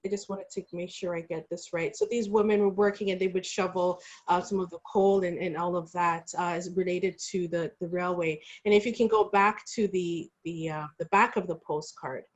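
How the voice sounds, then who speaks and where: Woman giving a halting explanatory presentation. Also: fairly low pitch, steady tone, muffled recording. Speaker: panelist presenting historical artifacts.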